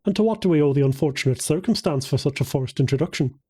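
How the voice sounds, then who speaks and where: Man acting sneering, hostile bar stranger, husky. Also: a little high, animated, quick pace, dark tone. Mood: mocking. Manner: scornful, pompous.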